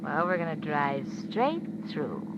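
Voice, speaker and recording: An actress playing a cool, threatening young femme fatale with clipped, mocking delivery, in 1950s radio thriller style. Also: female voice, low voice, sing-song, a little slow. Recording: muffled, noisy 1950s radio recording.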